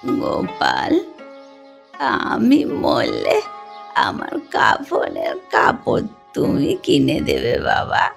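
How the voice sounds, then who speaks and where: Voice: mid-range voice, wildly swooping pitch, drawn-out words, creaky, soft background music, full character voice. An actor voicing a frail, affectionate but scolding old village woman in an audio drama, with a thin quavering voice and a rustic, fussing way of talking. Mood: weak, plaintive. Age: older. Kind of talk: audio story acting.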